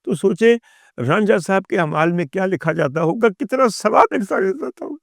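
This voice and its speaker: A man's voice: sits high, lively intonation, moderate pace, vocal fry, no room sound, clean studio sound. A Pakistani man telling a personal story as a monologue, in a dramatic, emphatic raconteur's manner. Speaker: talk show guest and storyteller.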